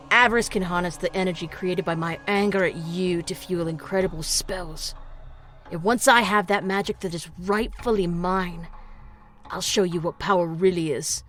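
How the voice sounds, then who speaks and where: A woman's voice: lowish voice, moderate pace, a bit bright, faint music behind, natural voice. A woman playing an arrogant, cruel father turned monster, sneering and pompous, in an audio drama. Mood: menacing contempt.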